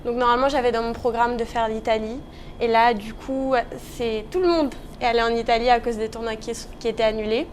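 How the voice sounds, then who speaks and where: A woman's voice: fairly high pitch, fairly even intonation, fairly quick. A young woman of nineteen. She answers questions in a casual interview style. Faint noise sits behind her. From Paris region, France. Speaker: interview guest, professional tennis player.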